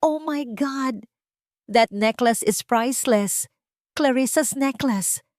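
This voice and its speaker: A woman's voice: a little high, slow, darkish tone, dry recording, clean studio sound, voice pushed up. A woman voicing a poised, rich fiancée in an audio drama, speaking dramatically with English phrases mixed in. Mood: distraught. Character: poised rich fiancée, falsely sweet, theatrically distraught.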